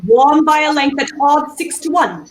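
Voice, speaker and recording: A woman's voice: high voice, lively intonation, normal speed. A woman playing a proud music hall memory act in a 1930s radio play, reciting with theatrical precision. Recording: faint background noise, video-call audio.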